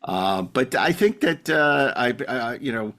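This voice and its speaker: A man hosting a podcast in casual, rambling chat, halting often and repeating his words. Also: medium pitch, lively intonation, fairly bright tone. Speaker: podcast host.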